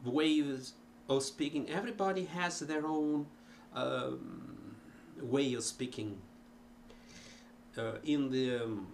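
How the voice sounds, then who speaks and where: A middle-aged man with an Italian accent, talking casually to a webcam about learning languages, slow and full of ums and restarts. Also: medium pitch, slight background noise. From Italy. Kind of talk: casual talk to camera. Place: cluttered home music room.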